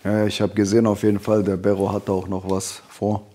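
A man's voice: fairly low pitch, monotone, fairly quick, warm tone. A man in his thirties co-hosting a casual chat on a sofa by a boxing ring, asking short, eager questions. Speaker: co-host. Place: lounge by a boxing ring, indoors.

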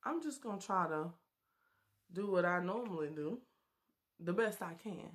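A woman's voice: fairly low pitch, lively intonation, normal speed. A woman in her thirties chatting and joking with viewers while doing her makeup, recorded close on a webcam in a small bedroom. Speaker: beauty vlogger. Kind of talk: casual makeup tutorial chat.

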